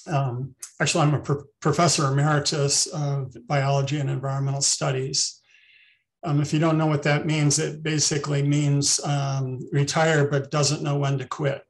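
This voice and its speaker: An older man, a retired biology professor, giving an online talk over a video call, halting with many ums and restarts. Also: on the high side, flat delivery, low-quality recording.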